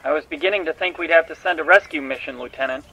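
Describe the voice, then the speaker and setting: An actor playing a brisk, dry, commanding starship captain in a sci-fi audio drama. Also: male voice, on the high side, background noise, natural voice. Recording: dull, band-limited voice under music.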